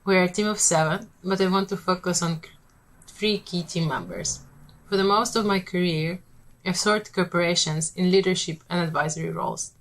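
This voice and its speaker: Founder, female, Polish accent, lowish voice, measured rehearsed pitch delivery. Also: steady tone, poor audio.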